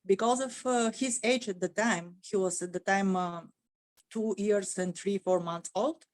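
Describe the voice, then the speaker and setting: A middle-aged woman from Bulgaria with a Bulgarian accent, speaking as an interview guest, pausing often with "uh" and restarting her sentences. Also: mid-range voice, dry recording. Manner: halting, many "uh" fillers and restarts. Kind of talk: conversational interview answer.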